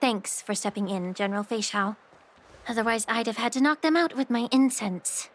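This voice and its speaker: A woman's voice: a little high, moderate pace, lightly acted. Woman playing a cheeky young healer's assistant. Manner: cheeky and blunt. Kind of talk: video game voice acting. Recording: game cutscene with music behind.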